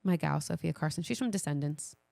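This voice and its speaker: A woman's voice: deep voice, brisk, gravelly, mellow, dry recording. A middle-aged woman co-hosting a podcast, trading casual banter in a blunt, informal way. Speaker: podcast co-host.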